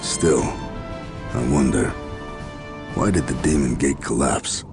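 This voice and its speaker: A man playing a weary, skeptical and devout general in a game cutscene, speaking in grave, measured military phrases. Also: a bass voice, music in the background, full character voice. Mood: grim, doubting. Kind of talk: scripted game cutscene acting.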